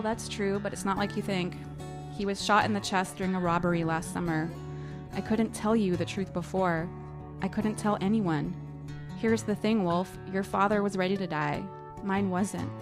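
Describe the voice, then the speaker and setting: A woman's voice: mid-range voice, natural voice. A woman reading a novel aloud as a fearful, grieving teenage girl, in an earnest, confessional manner.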